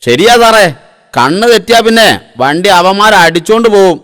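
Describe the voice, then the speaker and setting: A man's voice: high-pitched, lively intonation, moderate pace, fairly bright tone, in a large hall. A man voicing a wily, falsely polite hitchhiking car thief in a comic cartoon, coaxing and ingratiating. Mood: sly, coaxing.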